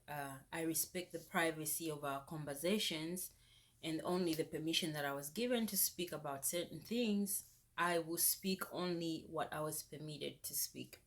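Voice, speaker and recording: A young woman, vlogging in a casual, animated rant that turns indignant. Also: low voice. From Zambia. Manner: animated, indignant, rises sharply when exasperated. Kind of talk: casual ranting vlog.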